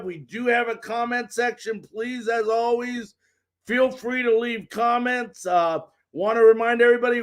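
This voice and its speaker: A man with a noticeably high voice hosts a live sports talk show. He delivers his lines emphatically, in the style of an announcer, addressing viewers and guests directly.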